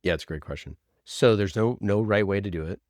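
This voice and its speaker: A man's voice: lowish voice, fast, darkish tone, dry recording, clean studio sound. A man speaking as a podcast producer and guest, answering conversationally and repeating phrases for emphasis.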